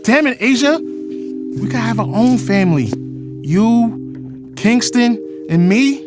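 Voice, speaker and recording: A man's voice: very high-pitched, lively intonation, slow, full character voice. An actor plays a middle-aged retired policeman in an audio drama, a man pleading for an old love. He is dramatic and insistent, stretching out his declarations. Prominent music plays behind him. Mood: frustrated, passionate. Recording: loud music under the voice.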